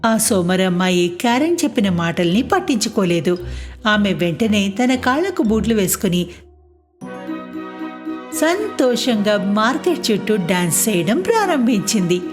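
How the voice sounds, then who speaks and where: A woman's voice: medium pitch, big pitch swings, unhurried, faint music behind. A woman storyteller reading scripted narration for a children's moral tale.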